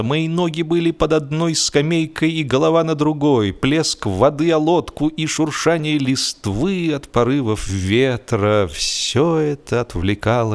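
A man narrating a literary story in an expressive, dramatic radio style, telling it in the first person with deliberate pauses. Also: medium pitch. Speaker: audiobook narrator, actor. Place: radio studio. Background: music.

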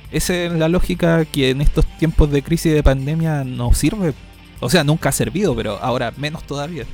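A man's voice: a little high, a little fast, a little mellow, faint music behind. A man with a Chilean accent, co-hosting conversational commentary in a colloquial, argumentative manner. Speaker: co-host.

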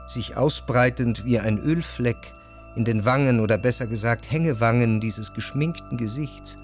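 Reflective literary narrator, faint music. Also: male voice, medium pitch, a little mellow. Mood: detached, wry. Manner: measured, literary, precise. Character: reflective, refined male narrator recalling Parisian salon society. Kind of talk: scripted first-person narration in radio drama.

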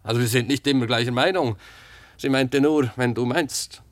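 A man's voice: mid-range voice, moderate pace, no room sound, lightly acted. An actor as a terse, secretive working man, close and dry. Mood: guarded, uneasy. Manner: terse, insistent, colloquial. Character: secretive, brooding working man applying for a post. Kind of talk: radio drama acting.

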